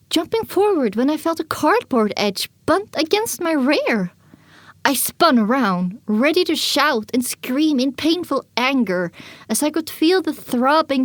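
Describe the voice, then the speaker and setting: A woman narrates a scripted story in the first person. Her voice sits a little high, and the sound is dry, with no room around it. The reading is dramatic, in audiobook style. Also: dry recording.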